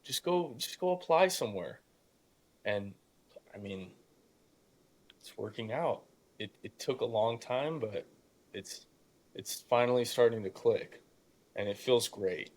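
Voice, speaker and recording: A man's voice: mid-range voice, measured pace, pauses often. An eighteen-year-old man tells stories in a casual, motivational podcast style. His youthful voice is prone to cracking, and he speaks emphatically and informally, often slipping into imagined dialogue to make a point. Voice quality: youthful voice that still cracks at times. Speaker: podcast guest, young real estate investor. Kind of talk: casual conversational motivational storytelling.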